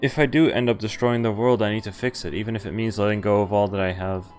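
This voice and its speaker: A man's voice: lowish voice, fairly even intonation, quick pace, a little mellow. A man streaming a game, reading its story text aloud in an informal way. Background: game music.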